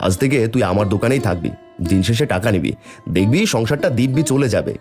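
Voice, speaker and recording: Cartoon part: honest, trusting village father, earnest, brisk, swinging pitch, faint music underneath male actor. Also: lively intonation, close to an everyday voice. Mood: kindly, trusting. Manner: earnest, gentle, plaintive when wronged. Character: kind, trusting poor village shopkeeper and father. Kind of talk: cartoon dialogue acting.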